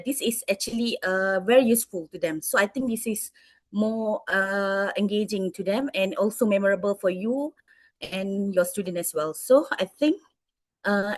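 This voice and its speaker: Malaysian woman teacher, halting answers. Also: mid-range voice, no room sound. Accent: Malaysian English. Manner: hesitant, many fillers and restarts. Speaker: English teacher interviewed by students. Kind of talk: answering interview questions.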